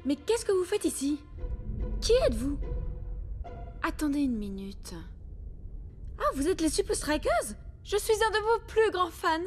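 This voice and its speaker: A woman voicing a brisk, excitable female astronaut in a cartoon, urgent in manner. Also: high voice, faint music behind. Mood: excited. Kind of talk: cartoon dubbing.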